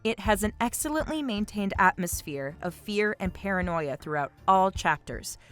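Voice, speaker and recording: A woman reading scripted video essay narration in a clearly articulated, explanatory way. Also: mid-range voice. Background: background music.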